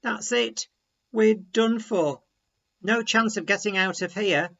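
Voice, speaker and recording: A man's voice: high-pitched, slow pace, gravelly, fairly bright tone, highs cut off, full character voice. A man voicing a weary, defeatist little frog who complains plaintively in a children's story. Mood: weary, hopeless.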